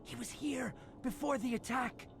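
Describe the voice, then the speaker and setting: A high-voiced, doom-prophesying mad scientist. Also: male voice, moderate pace, creaky, background noise. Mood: ominous. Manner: ominous, feverish, half-whispered pronouncements.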